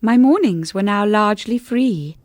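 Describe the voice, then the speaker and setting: An actress playing a shy young lady's companion in a radio drama, gentle, hesitant and well-spoken as she narrates and converses. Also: mid-range voice, animated, normal speed, no echo, clean studio sound, natural voice. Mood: wistful, reflective. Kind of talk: radio drama acting and first-person narration.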